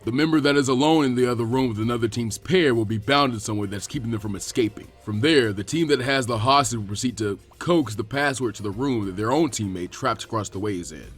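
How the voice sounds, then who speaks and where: A man voicing a gruff, imposing ninja exam proctor who commands and sneers in an anime fan fiction reading. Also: medium pitch, fast, few pauses, faint music behind, pitched below the performer's own voice, close to an everyday voice. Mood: menacing.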